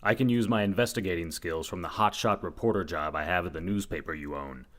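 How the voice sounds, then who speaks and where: A man's voice: low-pitched, fast talker, fairly bright tone. A man playing an earnest, overconfident and slightly dim reporter detective in a comic radio mystery, delivered dramatically. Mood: confident. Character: earnest, dim, self-assured young male reporter detective. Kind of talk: comedic old-time radio mystery acting.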